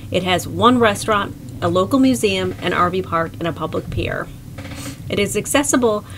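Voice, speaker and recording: A woman reading a calm, scripted voice-over for a sailing travel vlog. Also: on the low side, slight background noise. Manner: calm, informative. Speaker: vlog narrator.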